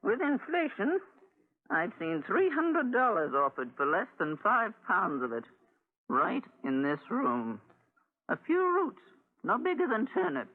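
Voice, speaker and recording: Brash, swooping middle-aged barkeeper dame. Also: female voice, medium pitch, huge pitch swings, a little slow. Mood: coaxing, scheming. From United States. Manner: coaxing, theatrical, familiar. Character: brash, nosy, wheedling middle-aged female bar owner. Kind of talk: 1940s radio adventure acting. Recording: tinny 1940s radio recording.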